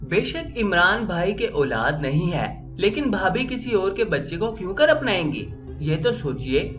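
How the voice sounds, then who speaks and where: Woman performing a level-headed young sister in story narration: cautious, questioning, varied pitch, light music behind. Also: sing-song, unhurried. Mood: protesting. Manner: questioning, reasoning.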